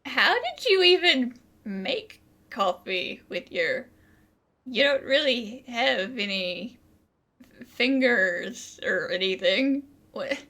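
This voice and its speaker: Gamer girl doing casual commentary, very animated pitch, bright voice, chats back at characters. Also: slow. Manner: chatty, reacts aloud to game characters. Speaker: gaming streamer.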